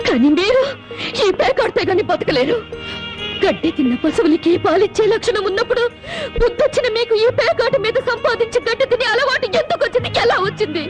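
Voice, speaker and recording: Proud, heartbroken wife; very high voice, sarcastic and tearful; faint score behind. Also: female voice, sing-song, a little fast, over music. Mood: bitter reproach. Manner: sarcastic, reproachful, breaks into tears. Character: young wife, proud and bitterly wounded. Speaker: wronged wife of a gambler. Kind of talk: Telugu film melodrama.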